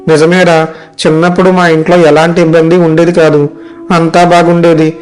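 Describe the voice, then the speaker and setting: A man playing a weary, worried young software worker and dutiful son in a story dialogue, plaintive and complaining. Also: fairly high pitch, level tone, normal speed, faint music behind, natural voice. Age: young adult. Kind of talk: animated moral story dialogue.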